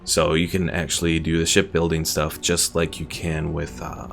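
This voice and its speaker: A man with a low, heavily creaky voice gives casual commentary on a game he is streaming. He muses aloud in an unscripted way, with faint music behind him. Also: completely flat delivery, normal speed. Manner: musing, thinking aloud.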